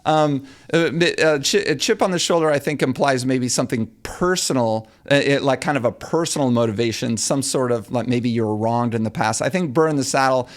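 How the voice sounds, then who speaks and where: A man's voice: mid-range voice, fairly quick, hardly any pauses. A man from Texas hosting a podcast, thoughtful and folksy, often repeating words as he starts a sentence. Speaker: podcast host. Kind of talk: casual interview chat.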